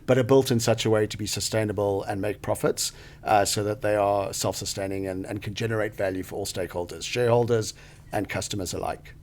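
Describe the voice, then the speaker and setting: A man's voice: fairly low pitch, steady tone, brisk, darkish tone. A man from Zambia answering interview questions in a studio, thoughtful, with frequent fillers and restarts. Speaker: guest, venture capital partner.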